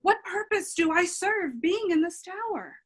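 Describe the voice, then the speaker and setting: A woman's voice: very high-pitched, animated, unhurried. A woman playing a lonely, questioning young fairy-tale captive in an irreverent radio play, speaking in a modern conversational way. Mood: questioning, lost.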